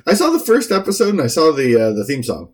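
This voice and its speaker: Lively, wry man with swooping intonation. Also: a little high, fast talker. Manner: wry, quippy. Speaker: comics news podcast co-host. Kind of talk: casual chat.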